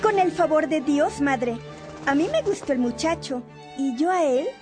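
A woman's voice: sits high, animated, normal speed, over music. A woman playing a sweet, obedient, well-bred adolescent daughter in a radio drama, polite and demure.

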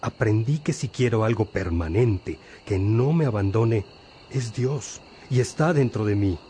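A man's voice: on the low side, expressive, measured pace, mellow, slight background noise. An actor playing a wise, patient older priest who teaches his disciples reflectively in a religious radio drama. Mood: teaching. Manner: reflective, didactic.

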